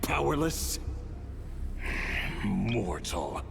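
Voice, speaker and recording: Very slow gravelly ominous villain. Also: male voice, fairly low pitch, very animated, exaggerated voice. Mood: contemptuous. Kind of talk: video game voice acting. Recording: long reverb, music behind.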